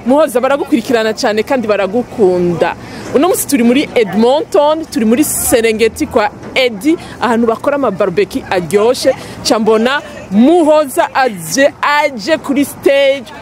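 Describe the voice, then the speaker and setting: A woman's voice: a little high, expressive, fairly quick. Woman interviewer at a noisy event. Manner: frequent short backchannels. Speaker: media reporter and interviewer. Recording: loud music and crowd noise behind.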